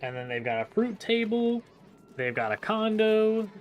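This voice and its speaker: A man's voice: high-pitched, very animated, unhurried, phone-quality sound, faint music behind. A man giving casual gameplay commentary, playfully thinking aloud and breaking into exclamations. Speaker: gaming video host.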